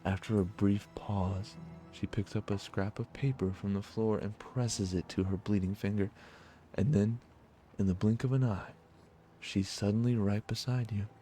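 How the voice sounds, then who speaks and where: A man's voice: on the low side, steady tone, moderate pace, warm tone, soft background music. A man hosting a gaming video, reading a visual novel's narration aloud with blunt, casual asides.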